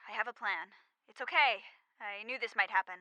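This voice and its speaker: An actress playing a brave, concerned friend on a phone call in an audio drama, brisk and reassuring. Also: female voice, medium pitch, bright, forceful tone, dry recording. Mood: resolute.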